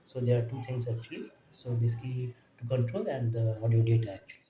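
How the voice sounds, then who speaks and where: A man giving an informal technical demo in a halting way, often tacking "actually" onto his phrases. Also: medium pitch, steady tone, mellow, a little hiss or hum. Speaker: electronics hobbyist presenter. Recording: echoey room, distant mic, faint music.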